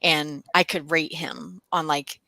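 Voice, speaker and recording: A middle-aged woman co-hosting a livestream, chatting casually in a halting, personal storytelling way. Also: low-pitched, steady tone, pushed and bright, dry recording. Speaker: co-host of a book readalong livestream.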